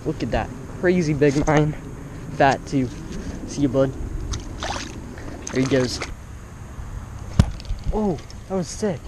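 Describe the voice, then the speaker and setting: Young guy fishing by a pond, kinda high voice, gets super hyped on every bite, action cam audio, bit of hiss. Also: slow pace, pauses often. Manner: excitable, bursts into exclamations on each catch. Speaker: fishing vlogger. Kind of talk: live running commentary while fishing. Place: outdoors beside a pond.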